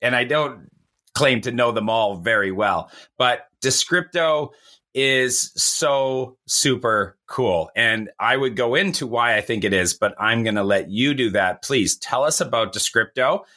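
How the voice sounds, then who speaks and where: Bright-voiced chatty male podcast host. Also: mid-range voice, measured pace. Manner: chatty, enthusiastic, repeats words when starting sentences. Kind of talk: interview hosting.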